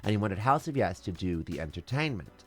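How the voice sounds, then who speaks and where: A man's voice: sits low, big pitch swings, fairly quick, fairly bright tone, slightly rough. A man who performs as a drag queen, telling stories in a casual interview with a strong, theatrical, flamboyant voice. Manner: flamboyant, theatrical storyteller with big swoops.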